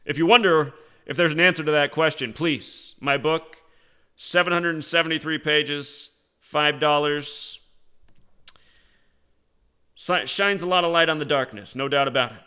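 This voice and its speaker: Man, American accent, slightly high pitch, bright forceful tone, pointed sardonic commentary host. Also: measured pace, clean tone. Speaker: host of an online conspiracy commentary show. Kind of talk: unscripted conspiracy monologue and commentary.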